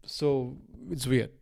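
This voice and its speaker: A man's voice: mid-range voice, creaky, a little mellow. A man in his thirties from Sri Lanka, a native Sinhalese speaker, hosting a solo podcast in a casual, rambling way, circling back over phrases and checking in with "right?". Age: 30s. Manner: rambling, repeats phrases and restarts, often says "like" and "right?". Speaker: podcast host. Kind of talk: casual unscripted solo monologue.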